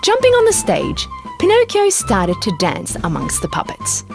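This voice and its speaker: Casting: female children's story narrator, hugely expressive pitch, medium register, music bed. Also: moderate pace.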